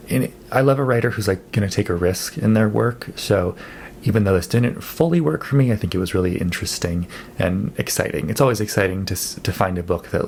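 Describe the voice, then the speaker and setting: A man talks through his recent reads in an easygoing, conversational way, dropping in lots of "um" and "like". His voice sits low and has a gravelly edge. A faint hum of noise sits under his voice. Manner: relaxed and chatty, with frequent "um" and "like". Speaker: book vlogger. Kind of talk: casual monthly reading wrap-up.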